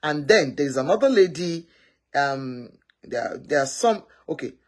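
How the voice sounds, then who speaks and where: A woman's voice: low voice, lively intonation, measured pace. A woman hosting a casual live matchmaking stream, rambling and often correcting herself.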